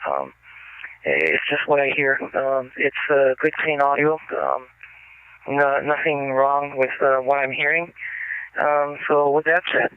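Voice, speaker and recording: A radio operator giving an on-air signal report, a man talking casually in halting phrases full of ums and uhs. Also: mid-range voice, expressive, phone-quality sound, slight background noise. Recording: thin radio transmission.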